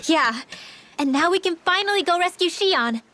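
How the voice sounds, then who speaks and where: A woman's voice: very high voice, lively intonation, normal speed, fairly bright tone. A woman playing an earnest, chatty teenage girl companion in a fantasy game, casual and concerned. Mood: chatty, explaining. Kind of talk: video game voice acting.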